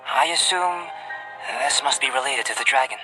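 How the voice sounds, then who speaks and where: Guy playing a calm young alchemist in a video game, mid-range voice, polite n precise, kinda reserved, music behind him. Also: mid-range voice, close to an everyday voice, low-quality recording. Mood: thoughtful, serious. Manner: measured, formal, analytical. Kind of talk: video game cutscene voice acting.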